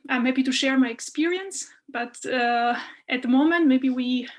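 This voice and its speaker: A woman originally from Albania, a postdoc explaining and answering questions in an online workshop, with many fillers and pauses. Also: high-pitched. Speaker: postdoc guest instructor.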